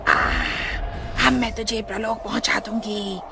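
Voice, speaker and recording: A woman voicing a vengeful young witch ghost in a horror story, theatrical and taunting with creaky, drawn-out threats. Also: medium pitch, little pitch movement, heavy background noise. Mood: gloating menace. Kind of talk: Hindi animated horror storytelling. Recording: music behind.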